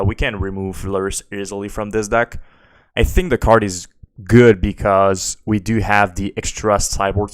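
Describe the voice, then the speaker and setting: A man's voice: lowish voice, clean tone, no echo, studio quality. A French Canadian man whose first language is French, streaming a card game and thinking aloud while answering chat questions. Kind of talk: live gameplay commentary.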